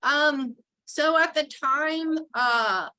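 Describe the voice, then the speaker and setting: A woman's voice: sits high, extremely slow, pushed and bright, rough recording. A middle-aged woman from New York with a Texan accent, chatting casually as an interview guest and laughing often. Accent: Texan with some New York. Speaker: guest, towboat port captain.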